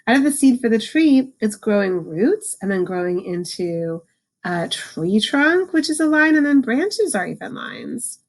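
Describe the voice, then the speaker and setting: A woman reading a picture book aloud to children, adding informal explanations and slowing down for the book's lines. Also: fairly high pitch, very animated, low-quality recording. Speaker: read-aloud host, teacher for children.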